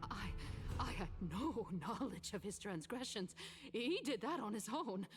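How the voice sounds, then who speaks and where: A woman's voice: on the high side, lively intonation, measured pace, music in the background. A woman playing a flustered, pleading council noblewoman, anxious and formal, in a video game. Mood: defensive, pleading. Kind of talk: dramatic video game voice acting.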